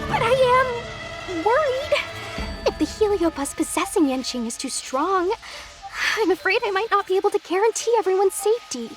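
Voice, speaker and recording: A woman's voice: very high-pitched, big pitch swings, moderate pace, soft background music. A woman playing a brave, earnest young female knight in a video game, spirited and concerned. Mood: indignant, earnest. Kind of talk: video game cutscene acting.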